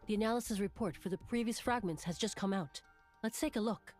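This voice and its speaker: A woman voicing a serious, authoritative special-operations captain in a measured, matter-of-fact way. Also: mid-range voice, a little mellow, faint music behind, voice pushed down, lightly acted.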